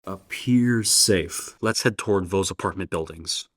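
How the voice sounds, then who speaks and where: A deep-voiced older professor. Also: female voice, a little slow, breathy edge, no room sound, clean studio sound, full character voice. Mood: calm. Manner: calm and commanding, urgent in danger. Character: older male professor Hainsworth, steady protector. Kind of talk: radio play acting.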